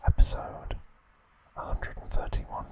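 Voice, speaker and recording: Slow whispering man. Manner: soft unvoiced whisper with playful asides. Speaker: ASMR channel host. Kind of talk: whispered ASMR recap narration.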